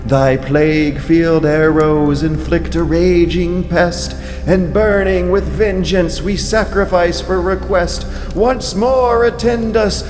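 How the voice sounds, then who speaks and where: A man playing an old priest praying loudly and solemnly in chanted verse, in an audio drama. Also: high-pitched, echoey room, long reverb tail, pitched above the performer's own voice. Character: old male priest invoking his god with fervor.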